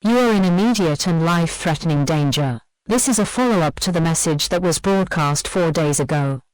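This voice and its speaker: A woman voicing an official emergency alert announcer, reading a formal script in a measured, impersonal way. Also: fairly low pitch, lively intonation, dry recording, lightly acted, poor audio. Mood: grave, official.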